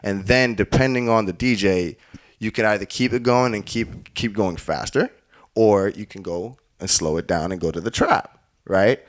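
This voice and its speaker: Laid-back young man with a lowish voice. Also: normal speed. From Caribbean. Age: 20s. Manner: storytelling, laughs often, acts out quoted speech. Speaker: guest music producer. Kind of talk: casual chat.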